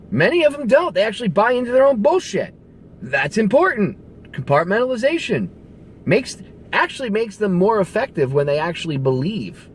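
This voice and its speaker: A man's voice: on the high side, a little hiss or hum. A man speaking as a radio show host, delivering a casual, emphatic, conversational rant with some swearing. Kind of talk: casual political rant to camera.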